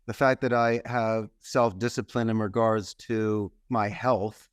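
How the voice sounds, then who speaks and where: A man's voice: on the low side, level tone, measured pace, clear, resonant tone, no echo, clean studio sound. A man in his fifties with a Philadelphia accent, telling his story as a guest in an emphatic, speech-like way. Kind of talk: interview storytelling.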